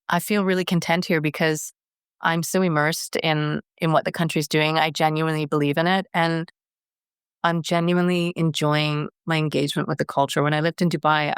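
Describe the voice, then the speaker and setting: A woman's voice: deep voice, clear, resonant tone, no echo, studio quality. A middle-aged woman from Canada, a guest in an interview, talking earnestly and often restarting her sentences. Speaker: guest, wellness strategy director. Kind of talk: conversational interview.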